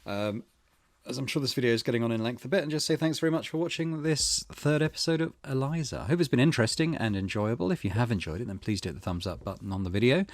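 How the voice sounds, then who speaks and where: A man's voice: medium pitch, expressive, fast, no echo. A man hosting a gaming channel, giving casual let's play commentary and reading game text aloud.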